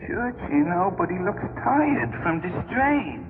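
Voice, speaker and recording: A man's voice: high voice, sing-song, unhurried, exaggerated voice. An actor playing a dim, obedient henchman in an old radio crime drama, slangy and deferential. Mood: dim, matter-of-fact. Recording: tinny old radio recording, faint noise.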